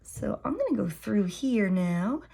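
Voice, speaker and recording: Woman art teacher, raspy with gravelly fry, relaxed live drawing demo narration. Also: mid-range voice, measured pace. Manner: relaxed, thinking aloud while drawing.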